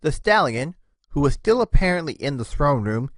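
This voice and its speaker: A woman giving an expressive, scripted narration of a story. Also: low-pitched, normal speed, dry recording.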